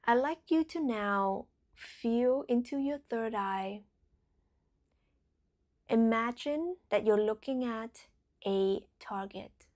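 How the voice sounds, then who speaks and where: A woman leading a guided meditation in a soothing, deliberate way, letting phrases trail into a breathy whisper. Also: mid-range voice, a little mellow.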